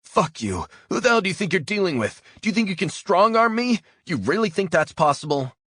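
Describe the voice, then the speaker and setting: A man voicing a terrified captive man who shouts and pleads in a high, strained voice. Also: sing-song, normal speed, slightly rough, no room sound, studio quality, heavily acted. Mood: defiant shouting. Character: panicked captive man, defiant then terrified.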